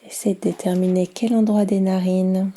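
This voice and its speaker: A woman guiding a yoga nidra meditation in a soft, soothing, unhurried manner with long pauses. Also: mid-range voice, level tone. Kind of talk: guided meditation.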